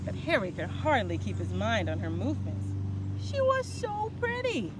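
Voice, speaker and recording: Animated woman storyteller, noisy outdoor setting. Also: on the high side, normal speed. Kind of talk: expressive read-aloud storytelling.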